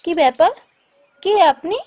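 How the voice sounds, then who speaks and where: A woman's voice: normal speed, dark tone, natural voice. A woman playing a curious, caring young village woman in a folk-tale cartoon, polite and questioning.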